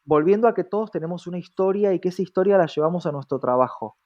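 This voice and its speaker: A man's voice: high-pitched, animated, fast talker, dull audio. A man with an Argentine accent talking reflectively in a conversational interview, often checking in with short tag questions.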